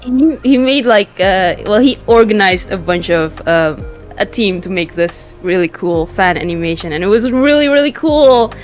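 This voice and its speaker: A man with a light, high-pitched voice, speaking informally and with youthful slang as a guest in a casual interview. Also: moderate pace. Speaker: interview guest, webcomic artist. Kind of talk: casual chat. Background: background music.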